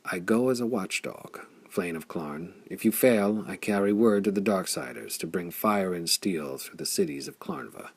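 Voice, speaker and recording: A man voicing a giant blond warrior who is gruff and watchful, speaking in roaring, blunt declarations in an audiobook. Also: fairly low pitch, animated, normal speed, hardly any pauses, no echo, exaggerated voice.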